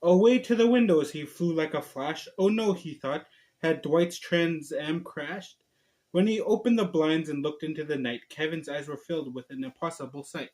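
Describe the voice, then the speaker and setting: A man's voice: a little high, moderate pace, a little mellow. A man hosting a homemade video, chatting casually and reading a storybook aloud in an informal, slightly stumbling way. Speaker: online video host and storybook reader. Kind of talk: casual vlog talk and amateur read-aloud.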